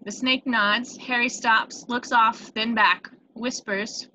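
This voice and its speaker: A woman's voice: fairly high pitch, fairly even intonation, slow pace, bright, forceful tone. A woman reading screenplay stage directions as narrator, with small stumbles, on a noisy home recording with clicks.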